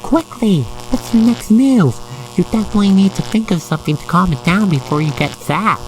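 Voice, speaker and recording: An expressive narrator guiding the listener through an ASMR roleplay story in a gushing, sentimental manner. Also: medium pitch, brisk, slight background noise, rough recording.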